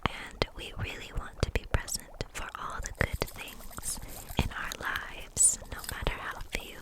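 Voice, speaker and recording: Slow whispering gentle kitchen witch played by a woman. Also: lightly acted. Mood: soothing. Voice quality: soft breathy whisper. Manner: soothing, nurturing, unhurried. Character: gentle, kindly kitchen witch making potions. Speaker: ASMR roleplay host. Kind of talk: whispered ASMR roleplay.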